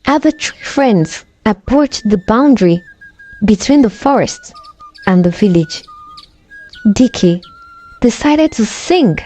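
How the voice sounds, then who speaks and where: A woman narrating a scripted folktale in a dramatic, deliberate storytelling manner. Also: on the high side, animated, dark tone, faint music behind.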